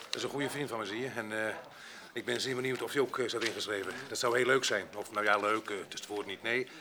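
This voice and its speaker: A male actor playing a rough, bitter grape picker with a violent past in a Dutch radio drama, gruff, cursing and sardonic. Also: on the low side, steady tone, fast, close to an everyday voice. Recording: busy crowd noise behind.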